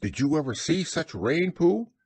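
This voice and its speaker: A man voicing a tiny, timid young male piglet in a storybook reading, with a high, wistful, fretful voice. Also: big pitch swings, moderate pace, a little mellow, breathy edge, no echo, full character voice.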